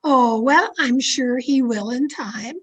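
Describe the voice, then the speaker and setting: A woman's voice: sits high, slow, slightly rough, exaggerated voice. A woman playing an anxious, guarded mystery novelist in an old-time radio drama, with a formal, theatrical delivery. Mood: guarded politeness. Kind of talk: old-time radio drama acting, first-person suspense narration. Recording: remote video-call recording with music behind.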